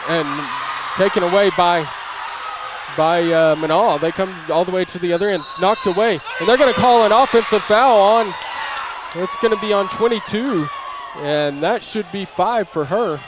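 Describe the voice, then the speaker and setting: Over the din of a crowded gym, a man calls live basketball play-by-play. His voice sits high for a man, and he reports the action as it unfolds. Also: moderate pace, noisy background. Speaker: sports play-by-play announcer. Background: crowd and bouncing basketball in gym.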